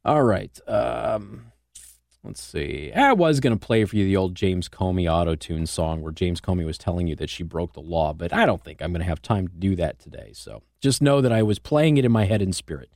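An American man hosting talk radio, speaking in a conversational, emphatic monologue with rhetorical questions and pauses for effect. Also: low voice, animated, vocal fry, darkish tone, dry recording, clean studio sound. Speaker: radio talk show host. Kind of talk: conservative talk radio monologue with host-read ads. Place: radio studio. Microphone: close broadcast microphone.

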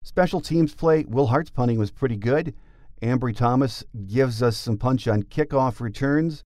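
A man's voice: medium pitch, unhurried, darkish tone, dry recording, studio quality. A man hosting a sports podcast, delivering scripted commentary as a plain-spoken, opinionated fan.

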